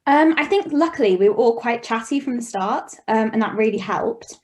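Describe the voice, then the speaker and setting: A woman's voice: on the high side, expressive, moderate pace, darkish tone. Chatty young woman from Wales. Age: young adult. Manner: chatty, with frequent ums. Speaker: student.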